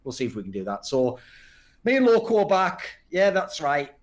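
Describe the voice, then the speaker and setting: A man's voice: a little high, very animated, moderate pace. Blunt, sarcastic man hosting a podcast. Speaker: podcast host. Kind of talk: casual talk show hosting.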